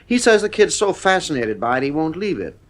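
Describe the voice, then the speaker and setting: A man's voice: on the high side, big pitch swings, a little fast. An actor playing an easygoing, dismissive husband and father in a conversational radio drama.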